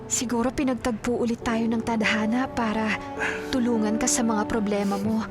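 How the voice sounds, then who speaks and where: A woman's voice: a little high, little pitch movement, normal speed, close to an everyday voice. An actress playing a devoted, caring career woman in a Filipino radio drama, speaking earnestly with a Filipino accent. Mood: tender, devoted. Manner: earnest, solicitous. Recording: loud music behind the voice.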